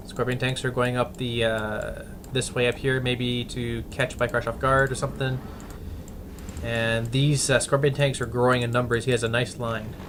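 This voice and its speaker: A man casting a strategy game replay, halting with fillers and restarts and breaking into bursts of excitement. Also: mid-range voice, noisy background. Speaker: game commentator. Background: background music and keyboard typing.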